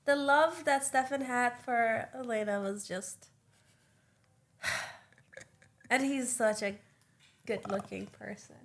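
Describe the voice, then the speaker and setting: A woman's voice: on the high side, slow, pauses often, a bit bright. A woman, a native Farsi speaker, chatting as a podcast guest, enthusiastic and giggly. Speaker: actress and filmmaker, guest. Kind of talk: casual chat.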